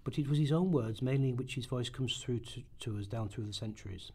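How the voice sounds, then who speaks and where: Slightly husky man reading scripted narration. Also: mid-range voice, a little fast, darkish tone. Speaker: narrator in a radio play rehearsal. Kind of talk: scripted documentary-drama narration, read aloud.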